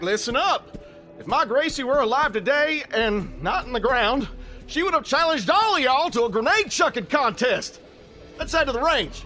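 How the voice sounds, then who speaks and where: A man's voice: very high voice, pushed and bright, heavily acted. A man playing a folksy, exuberant bereaved father, hosting a party in a video game. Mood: announcing. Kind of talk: video game character acting.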